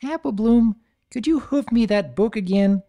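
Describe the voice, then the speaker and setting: A woman voicing a little girl pony who squeaks and whines in a high, excitable voice. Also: normal speed, close to an everyday voice. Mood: whiny. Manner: high-pitched squeaking and whining.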